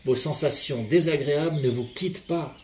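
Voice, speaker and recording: A man leading a guided relaxation session in a calm, measured voice, speaking directly to the listener. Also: a little high, a little hiss or hum. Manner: calm, measured second-person guiding. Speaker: hypnotherapist guide. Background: soft background music.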